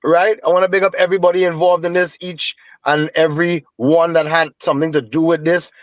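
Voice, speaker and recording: A man from Trinidad with a Trinidadian accent, a guest telling a lively story with Caribbean phrasing in a casual interview. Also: a little high, brisk, dry recording. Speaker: guest musician.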